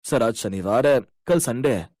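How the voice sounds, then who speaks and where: A man's voice: medium pitch, lively intonation, moderate pace, darkish tone, natural voice. A man voicing an earnest young married man, a plain-spoken office worker in a cartoon story. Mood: politely inviting.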